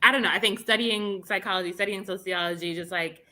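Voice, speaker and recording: A young woman from Brooklyn, speaking as a guest in an interview, earnest and impassioned, thinking out loud in long flowing sentences. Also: medium pitch, quick pace. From Brownsville, Brooklyn, New York. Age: young adult. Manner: earnest, impassioned, talks in flowing run-on thoughts. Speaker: guest, policing reform advocate. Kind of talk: interview conversation.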